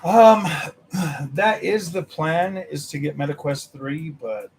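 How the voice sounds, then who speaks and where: A young man hosting a gaming channel, chatting casually with his viewers, animated and laughing, his voice a little worn from nearly losing it. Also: slow, gravelly, husky. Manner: animated, laughing, mimics others in a high voice. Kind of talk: casual livestream chat with viewers.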